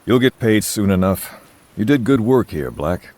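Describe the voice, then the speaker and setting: Deep, commanding army major. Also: male voice, very animated, moderate pace, warm tone. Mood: stern. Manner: clipped, authoritative. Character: stern, commanding army major, gruff and dutiful. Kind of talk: scripted video game cutscene acting.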